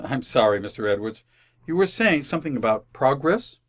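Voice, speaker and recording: A woman's voice: rumbling low, sing-song, brisk, husky, full character voice. A woman acting a polite, exasperated family father hosting dinner in an old-time radio comedy. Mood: flustered. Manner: formal, flustered, placating.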